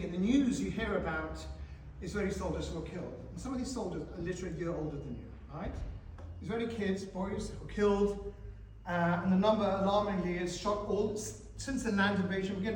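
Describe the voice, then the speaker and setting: A man in his forties giving a talk to teenagers in a school hall, animated and questioning the audience. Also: sits high, slight background noise. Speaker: guest speaker. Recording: echoey hall, speaker far from mic.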